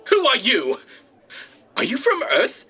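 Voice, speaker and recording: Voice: huge pitch swings, slow, pushed and bright, soft background music, heavily acted. An actor playing a pompous, exacting alien director with a thousand legs, barking sarcastic commands in a comedic sci-fi audio drama. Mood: haughty. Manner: theatrical, imperious, sarcastic, booming commands.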